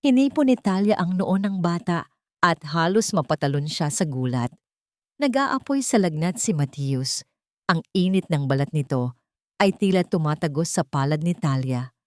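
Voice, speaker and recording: A woman with a fairly low voice narrates a dramatic audiobook. Her reading is scripted, solemn and full of suspense. The recording is very dry, with no room sound. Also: animated, normal speed, a little mellow, dry recording.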